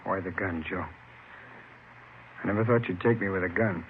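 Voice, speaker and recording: An American actor playing a weary, cornered fugitive convict in an old radio crime drama. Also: male voice, low-pitched, moderate pace. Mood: resigned. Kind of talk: 1950s radio drama acting. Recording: narrow-band, hissy old radio recording.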